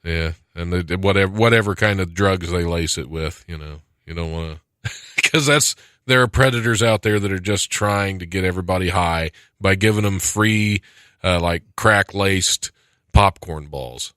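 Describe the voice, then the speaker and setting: A man with an American accent and a fairly low, bright, forward voice hosts a casual comedy chat. He jokes sarcastically in a very dry studio sound. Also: normal speed, no room sound. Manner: joking, sarcastic, often chuckling. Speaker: podcast host.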